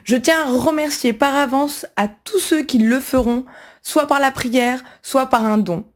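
A woman presenting the channel, reading a courteous thank-you announcement to supporters in a steady manner. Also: high-pitched, measured pace. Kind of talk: read announcement.

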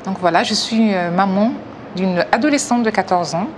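A woman's voice: mid-range voice, a little hiss or hum. Hesitant woman telling her faith story. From Africa. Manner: hesitant, many pauses and fillers. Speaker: testimony speaker. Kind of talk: personal faith testimony.

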